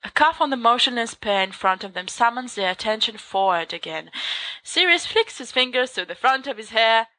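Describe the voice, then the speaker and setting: A woman reads a story in an expressive, lively way. Her voice is bright and sits a little high, and the recording is dry and close, with no room around her. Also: normal speed, no echo, rough recording. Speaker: podfic reader. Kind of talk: storybook narration.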